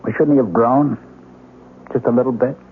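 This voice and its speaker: A man's voice: mid-range voice, steady tone, normal speed, natural voice. Man acting a meek, stammering salesman on tinny radio. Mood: worried. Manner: hesitant, stammering, deferential. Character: meek, anxious put-upon salesman, husband and new father. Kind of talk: 1970s radio drama acting. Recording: noisy, tinny old radio broadcast.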